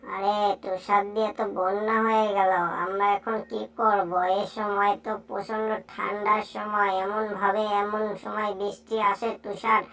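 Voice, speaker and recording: A woman voicing an excitable, teasing cartoon animal pal in a playful, exclaiming amateur dub. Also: medium pitch, normal speed, far from the mic, close to an everyday voice. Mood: alarmed, excited. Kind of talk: amateur Bangla cartoon dub, improvised chatter.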